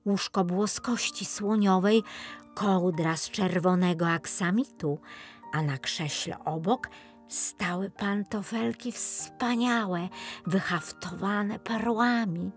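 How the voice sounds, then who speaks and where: A woman narrating a scripted children's fairy tale as an expressive storyteller. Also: mid-range voice, slow pace, faint music behind. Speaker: main narrator.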